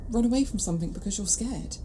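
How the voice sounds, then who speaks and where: A woman's voice: medium pitch, expressive, fast, warm tone, a little hiss or hum, close to an everyday voice. A woman playing a curious, plain-spoken travelling companion in an audio drama, inquisitive and cheeky. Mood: uneasy.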